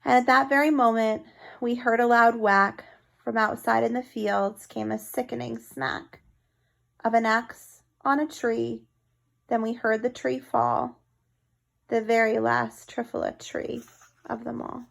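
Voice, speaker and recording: Higher-voiced woman reading a storybook aloud. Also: fairly even intonation, unhurried, gravelly, fairly bright tone. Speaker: storybook reader. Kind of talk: expressive read-aloud of rhyming children's story.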